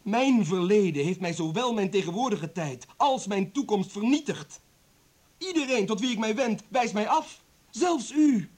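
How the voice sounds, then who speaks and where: An actor playing a proud, wounded doctor in a radio play, husky and aggrieved as he defends himself. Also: high-pitched, lively intonation, unhurried, darkish tone. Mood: anguished, bitter. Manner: impassioned, aggrieved. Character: proud, wounded male doctor, a lonely outcast ex-convict. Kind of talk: Dutch radio play acting.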